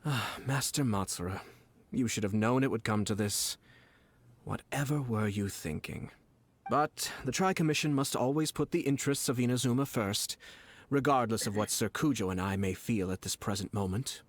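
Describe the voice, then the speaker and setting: An actor playing a composed noble male commissioner who speaks smoothly and courteously, with quiet menace. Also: mid-range voice, normal speed, lightly acted. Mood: cool. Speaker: clan commissioner. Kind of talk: game voice acting.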